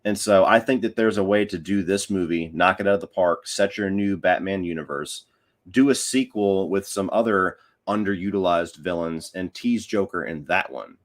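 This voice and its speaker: A man with a deep, low voice talks casually on a film podcast. He is the guest, offering opinions in a conversational way. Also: normal speed.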